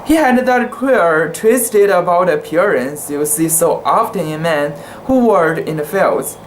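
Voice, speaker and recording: Unhurried delivery, mid-pitched voice, careful and halting amateur literary reading, some background noise. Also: lively intonation. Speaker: amateur reader. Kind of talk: dramatic reading of a literary short story.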